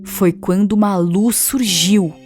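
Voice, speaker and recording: A woman reading scripted narration for an animated children's story. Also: medium pitch, takes it slowly, faint music behind.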